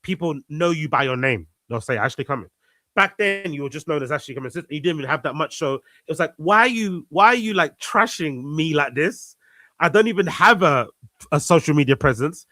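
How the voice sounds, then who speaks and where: Earnest man, higher voice, repeating himself. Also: lively intonation, brisk, sharp tone, no room sound. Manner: earnest, repeats phrases for emphasis. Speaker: podcast guest. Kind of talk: reflective casual conversation.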